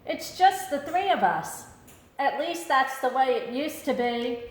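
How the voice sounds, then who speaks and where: A woman reading a children's picture book aloud in an expressive storytelling style. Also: sits high, a little slow, roomy sound, poor audio. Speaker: storybook reader.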